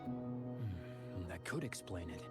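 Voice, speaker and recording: An actor playing an earnest, helpful mine patrol officer in a video game, composed and polite, with music behind. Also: male voice, deep voice, animated, takes it slowly. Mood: helpful, explaining.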